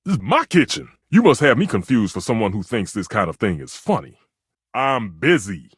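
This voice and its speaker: Give this one to a proud, food-obsessed stove who thinks like a master chef. His voice is slightly husky and sits a little high for a man, leaping wildly up and down. He speaks in pompous, flowery phrases and flares into exasperation, all in a close, dry video game sound. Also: sing-song, normal speed, no room sound. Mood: haughty, irritated. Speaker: voice actor in a dating simulator game. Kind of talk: theatrical video game character acting.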